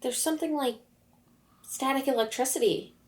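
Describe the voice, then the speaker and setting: A woman's voice: sits high, moderate pace, darkish tone. A woman player in a tabletop roleplaying game, casually improvising as her character and describing her actions.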